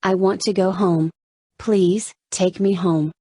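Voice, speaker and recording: Storybook young patient, read by a woman: naive, earnest, hesitant, questioning, mid-pitched. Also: steady tone, slow, warm tone, slightly rough, no echo. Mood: confused, pleading.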